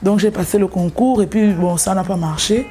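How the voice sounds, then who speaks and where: A woman's voice: mid-range voice, normal speed, mellow. A young woman from Gabon, a singer, telling her story in an interview, hesitant, with many fillers and restarts. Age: young adult.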